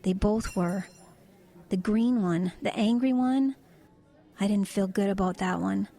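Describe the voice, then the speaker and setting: A woman's voice: mid-range voice, measured pace, creaky, mellow. An actress playing a matter-of-fact woman truck driver in an audio drama, recounting eerie visions in a hesitant, conversational way. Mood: thoughtful, searching.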